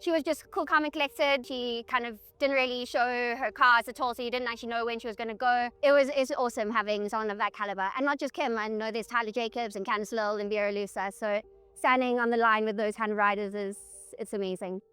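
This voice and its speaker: A woman cyclist talks through the race in a thoughtful, animated way, her voice a touch higher than most. Light music drifts faintly behind her. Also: fast talker, clear, resonant tone. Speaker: racing cyclist. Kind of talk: post-race interview.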